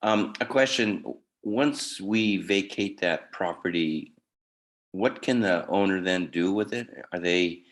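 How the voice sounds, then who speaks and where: A hoarse man, a city councilmember, asking probing questions during a council meeting, restarting his sentences as he goes. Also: sits low, takes it slowly.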